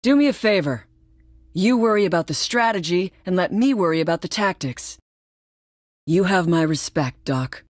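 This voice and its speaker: A woman's voice: lowish voice, expressive, normal speed, no echo, studio quality. An actress playing a confident, tough female tactical soldier, direct and businesslike, in video game voice lines. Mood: cool, confident.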